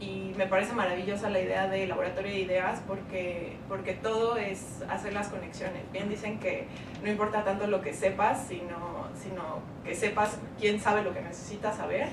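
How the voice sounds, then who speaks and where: A Mexican woman speaking earnestly on a panel at an event, steady with small restarts. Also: medium pitch, moderate pace. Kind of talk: panel talk. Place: event hall. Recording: echoey hall, distant mic, noisy.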